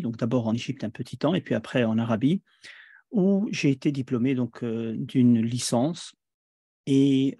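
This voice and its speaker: Belgian-born man teaching online; mellow, dry, unhurried with fillers. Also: mid-range voice, vocal fry, dry recording. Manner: measured, hesitant with fillers. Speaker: imam and teacher. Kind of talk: online presentation.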